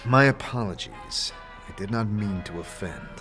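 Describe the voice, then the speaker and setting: A man's voice: lowish voice, normal speed, a bit bright, soft background music, lightly acted. Terse, hesitant male adventurer played by an actor. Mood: curious, apologetic. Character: reserved, polite, hesitant male adventurer customer. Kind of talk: audio drama acting.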